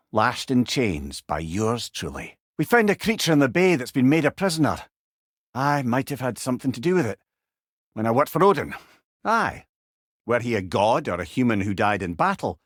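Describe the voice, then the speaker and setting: Wry old Scottish sage, lively intonation. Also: male voice, medium pitch, dry recording, clean studio sound. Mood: solemn, explaining. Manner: wry, eloquent, lilting storyteller's delivery. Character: Mimir, wise wry old male storyteller and companion. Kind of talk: video game character dialogue.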